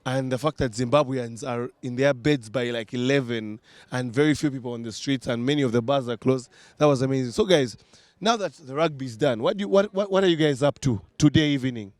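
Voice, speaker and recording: A man's voice: medium pitch, brisk, darkish tone, dry recording. A man from Uganda hosting a casual podcast panel and wrapping it up in an easygoing, chatty way. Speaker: podcast host.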